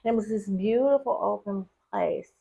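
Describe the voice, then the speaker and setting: A woman's voice: mid-range voice, moderate pace, vocal fry, dark tone, breathy edge, muffled recording. A middle-aged woman, a podcast guest, chatting casually and rambling through stories with easy laughs. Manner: chatty, rambling storyteller who laughs easily. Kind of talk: casual conversation.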